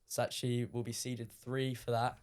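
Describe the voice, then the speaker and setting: A teenage man from the UK, a guest on a podcast, talking casually with lots of ums, his voice a little croaky from shouting. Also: medium pitch, moderate pace. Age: teens. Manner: relaxed, many ums, recounting matches. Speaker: guest player.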